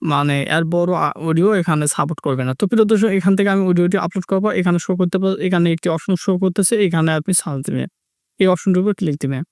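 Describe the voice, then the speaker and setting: A man's voice: sits high, very fast, no echo, clean studio sound. A man narrating a step-by-step tech tutorial, repeating his instructions as he goes. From Bangladesh. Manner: repetitive instructional phrasing.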